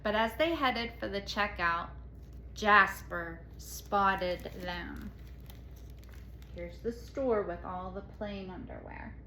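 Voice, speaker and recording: A woman reading a children's picture book aloud as a storyteller, expressively and dramatically, with pauses. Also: mid-range voice, sing-song, vocal fry. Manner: dramatic, with hushed asides and pauses. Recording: echoey room with faint noise.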